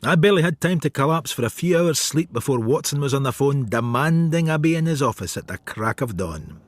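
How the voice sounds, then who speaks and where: A man's voice: mid-range voice, lively intonation, fairly quick, dry recording, lightly acted. An actor playing a brooding, sardonic detective, narrating his case in a radio drama.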